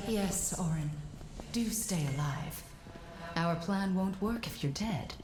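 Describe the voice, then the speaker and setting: An actress playing a calm, devoted construct who guides a warrior in a fantasy video game, composed and formal. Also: female voice, mid-range voice, takes it slowly. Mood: composed, urging. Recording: echoing, with loud music. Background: game music.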